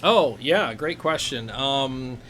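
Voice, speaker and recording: A man's voice: mid-range voice, lively intonation, slow pace, a bit bright. Jokey man chatting on a noisy livestream. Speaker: host of a live gear Q&A stream. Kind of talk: casual chat. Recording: noisy live stream recording.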